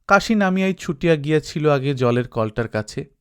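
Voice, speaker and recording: A man narrating a literary story as an audiobook, reading expressively and reflectively with dramatic pauses. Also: fairly high pitch, lively intonation, a bit bright, clear, resonant tone.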